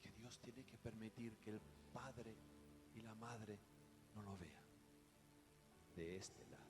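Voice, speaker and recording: A man's voice: fairly low pitch, takes it slowly. A man with a Rioplatense Spanish accent preaching a sermon, dramatic, with rhetorical questions and emphatic repetition. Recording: echoey hall, music behind the voice.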